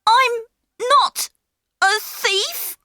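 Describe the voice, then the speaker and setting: A woman voicing an excitable, stubborn young boy who speaks in a high, earnest voice. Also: very slow, no echo, studio quality, exaggerated voice. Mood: pleading.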